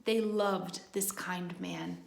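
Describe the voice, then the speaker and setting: A woman's voice: mid-range voice, steady tone, takes it slowly, vocal fry, echoey room. A woman teacher reading a picture book aloud to young children, adding simple, encouraging asides as she goes.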